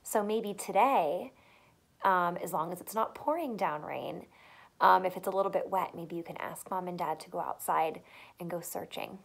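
A young adult woman, a teacher, reading a picture book aloud to small children with expressive delivery and simple chatty questions. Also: lowish voice, normal speed, gravelly, slightly rough. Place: small furnished room at home, indoors.

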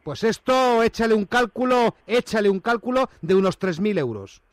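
A man's voice: high voice, lively intonation, moderate pace, dry recording, studio quality, voice pushed up. A man with a Spanish accent from Spain playing an indignant, bullying company manager on a prank phone call, speaking in formal, hesitant phrases or blunt, coarse threats. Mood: angry, threatening.

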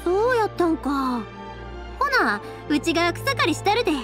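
Casting: bustling young village woman, Kansai accent, high animated voice, breezy and caring, anime game. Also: normal speed. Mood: cheerful, caring. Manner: breezy, familiar, reassuring. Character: bright young fireworks maker, caring, bustling village girl. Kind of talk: Japanese anime game voice acting. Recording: soft music behind.

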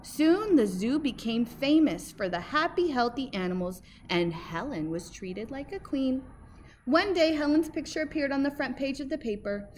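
Female storybook reader for children: higher-pitched, expressive teacher delivery, recorded outdoors on a device with light ambient noise. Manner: emphatic, pausing to ask listeners questions. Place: outdoors by a brick building entrance. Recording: faint outdoor background noise.

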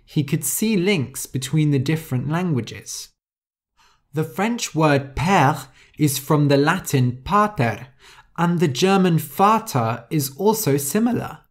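A podcast host reading a simple story aloud for English learners, explaining words and spelling some out letter by letter. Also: medium pitch.

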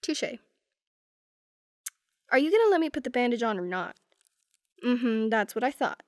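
Female actor for affectionate, sarcastic young girlfriend in ASMR roleplay; lightly high pitch, playful teasing, dry close recording. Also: sing-song, moderate pace, no echo. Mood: playful, caring.

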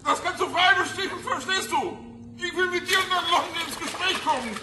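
A man's voice: extremely high pitch, fairly quick, background noise. An actor playing a trapped father, desperate and pleading, in a radio crime drama. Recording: echoing space with music.

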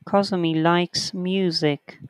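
Teacher reading exercises out loud real slow, counting off corrections, smooth voice. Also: medium pitch, little pitch movement, no echo. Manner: reads sentences out slowly and counts corrections aloud. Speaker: English writing teacher. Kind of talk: slow online lesson, reading a textbook aloud.

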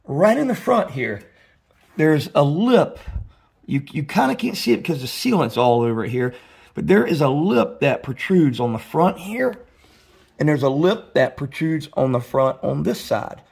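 A man's voice: on the high side, big pitch swings, normal speed, creaky, a little mellow. A man explaining a car repair step by step in a casual, rambling way, full of fillers and restarts, recorded in his home garage. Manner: rambling, many restarts and "you know" fillers. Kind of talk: casual how-to walkthrough.

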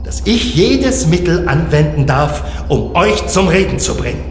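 Menacing, echoing space commander. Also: male voice, on the high side, very animated. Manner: sneering, deliberate, threatening. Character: cold, menacing male commander who despises children. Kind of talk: German children's audio drama acting. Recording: echoey hall reverb, music underneath.

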